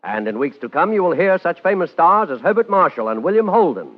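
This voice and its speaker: A man's voice: fairly high pitch, very animated, a little fast. A man announcing a 1950s radio show in a theatrical, suspenseful style. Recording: dull vintage radio broadcast, faint music.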